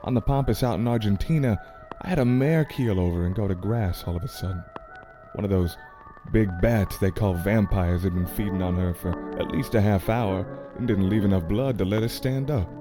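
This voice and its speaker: A man's voice: on the low side, moderate pace, music in the background, natural voice. A man playing a plainspoken, gallant adventurer in a radio play, with a folksy, polite way of talking. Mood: matter-of-fact recollection.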